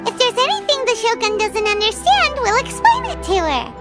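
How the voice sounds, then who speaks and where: A tiny fairy companion with an extremely high, childlike squeak. The delivery is chirpy and swoops about in true video game style. Loud, playful music runs behind it. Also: female voice, very animated, moderate pace. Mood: helpful. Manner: chirpy, refers to self in third person.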